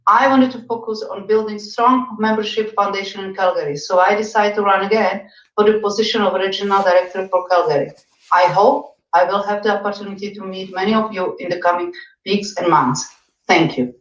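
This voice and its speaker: A woman's voice: mid-range voice, steady tone, a little fast. A woman from Czechoslovakia, reading a firm, formal campaign statement. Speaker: party regional director candidate. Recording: echoey, dull sound.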